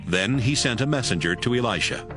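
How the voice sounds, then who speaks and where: A man's voice: mid-range voice, animated, brisk. A man narrating a dramatized Bible audiobook from a script. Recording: music behind the voice.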